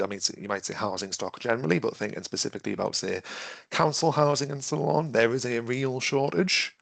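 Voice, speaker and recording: Gravelly-voiced man leading a webinar. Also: medium pitch, no room sound. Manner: conversational, thinks aloud with restarts, asks the audience questions. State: has a cough and is losing his voice. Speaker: webinar trainer. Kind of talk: interactive online training talk.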